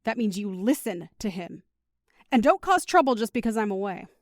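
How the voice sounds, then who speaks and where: A woman's voice: medium pitch, big pitch swings, normal speed, dry recording, clean studio sound, natural voice. A woman playing a firm, protective, teasing mother of two in an audio drama, instructing patiently.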